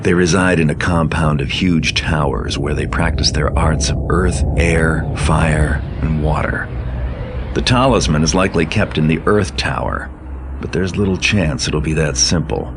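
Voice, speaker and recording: An actor playing a cynical, world-weary professional thief in a video game, narrating in a dry, deadpan, measured way. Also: male voice, very deep voice, background noise. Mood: dry, calculating. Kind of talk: scripted game briefing narration.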